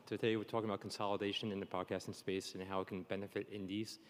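A man with a lowish voice hosts a live panel in a chatty, joking way. The sound carries the long reverb of a conference stage. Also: level tone, fast talker. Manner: chatty, jokey. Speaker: panel moderator. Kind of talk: informal live panel hosting.

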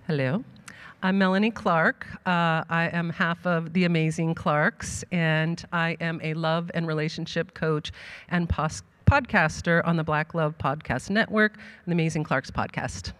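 Woman relationship coach, friendly, quite low voice. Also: fairly even intonation, measured pace, fairly bright tone.

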